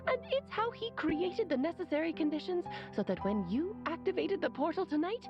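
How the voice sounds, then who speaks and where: A woman's voice: very high-pitched, expressive, fairly quick, lo-fi sound. A woman playing a clever, worldly sorceress in a video game, explaining things in an articulate, theatrical way. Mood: knowing, explanatory. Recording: thin audio with loud background music.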